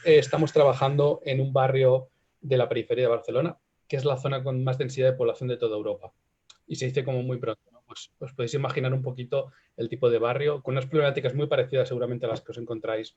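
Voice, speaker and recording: A man with an accent from Spain talks quickly and earnestly on a panel, checking in with his listeners as he goes. His voice is dark and mellow. The audio sounds dull and lo-fi. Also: medium pitch, fairly even intonation. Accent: Spanish (Spain). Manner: fast, earnest, tag questions. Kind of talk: casual panel talk.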